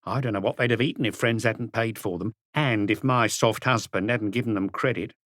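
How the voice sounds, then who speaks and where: A man's voice: fairly low pitch, brisk, fairly bright tone, no echo, studio quality, lightly acted. A man voicing a plump, gossipy middle-aged woman who runs a café, in an audiobook reading. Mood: indignant. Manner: chattering, scornful, indignant.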